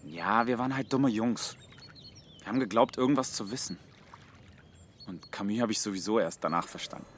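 A man's voice: lowish voice, a little fast, pauses often, slight background noise, close to an everyday voice. An actor playing a frank, loyal, bookish male friend with a dry manner in a radio drama. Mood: reflective. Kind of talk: radio drama dialogue and narration.

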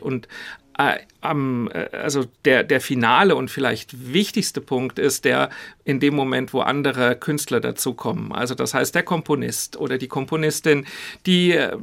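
A man's voice: mid-range voice, vocal fry, fairly bright tone. A man giving thoughtful interview answers as a radio drama director, reflective and often searching for words.

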